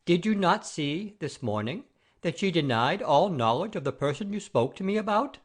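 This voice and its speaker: A man's voice: a little high, big pitch swings, normal speed, slightly rough. A pompous noble father-in-law in an old comedy, acted by a man, haughty and easily offended. His blustering delivery swings widely up and down. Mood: haughty, scolding. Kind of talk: classic comedy audio play acting.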